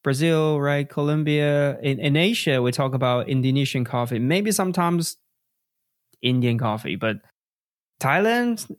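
A man's voice: fairly high pitch, lively intonation, measured pace. A man hosting a podcast interview, reacting with easy enthusiasm and steering with leading questions. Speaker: podcast host. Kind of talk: casual interview.

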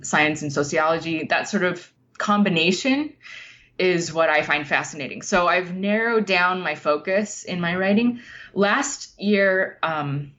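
Female interview guest, lower register, measured conversational explaining, slightly dull lo-fi recording. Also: a bit bright.

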